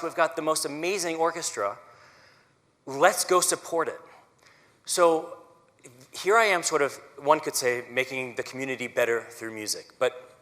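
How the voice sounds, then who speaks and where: A man's voice: mid-range voice, bright, forceful tone. Earnest man speaking in a reverberant hall. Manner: earnest, conversational, frequent "right?" asides. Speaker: symphony conductor giving a community talk. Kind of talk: personal public talk. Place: auditorium stage.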